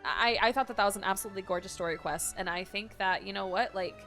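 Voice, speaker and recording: A woman's voice: medium pitch, quick pace, a bit bright, faint music behind. A woman streamer giving casual, gushing reaction commentary on a game, repeating words for emphasis. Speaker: gaming streamer.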